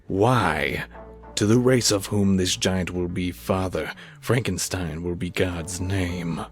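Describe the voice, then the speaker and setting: Guy doing an arrogant mad scientist for an audio play, real deep n slow, super theatrical. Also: soft background music. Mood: grandiose, exultant. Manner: grandiose, theatrical. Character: obsessed, arrogant scientist who creates a monster. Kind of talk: amateur audio drama performance.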